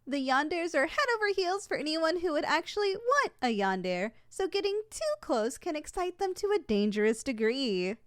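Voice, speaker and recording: Female actor as polite, unflappable saleswoman for an audio roleplay; high, very animated voice, formal sales patter. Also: normal speed, hardly any pauses, dry recording, studio quality. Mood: polite, businesslike. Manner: formal, courteous, matter-of-fact about danger. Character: polite, unflappable saleswoman giving a yandere shop tour.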